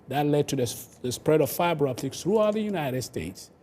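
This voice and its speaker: A man's voice: on the high side, lively intonation, brisk, mellow. An older man with a Ghanaian accent, explaining his work as an interview guest. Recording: clear background noise.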